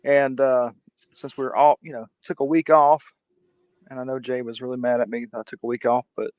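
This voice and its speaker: A man hosts a relaxed online chat, teasing his co-hosts and laughing easily. His voice sits in a mid range, and it comes through a dull, lo-fi stream with a dry sound and no room echo. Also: medium pitch, brisk. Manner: joking, laughing, playful teasing. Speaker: host. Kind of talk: casual livestream chat. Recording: dry, dull lo-fi livestream audio.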